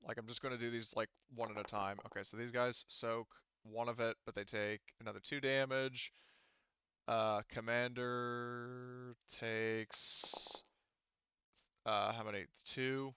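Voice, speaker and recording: A man's voice: medium pitch, fairly even intonation, a little slow, bright, forceful tone, clear, resonant tone, no echo. A man running a tabletop roleplaying game as game master, talking through dice rules in a casual, profane way over slightly dull audio.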